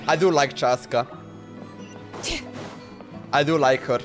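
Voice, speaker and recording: A man's voice: mid-range voice, steady tone, slow pace, music in the background. A man streaming a game, chatting and reacting casually in an informal, repetitive way. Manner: informal, repeats himself. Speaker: live streamer.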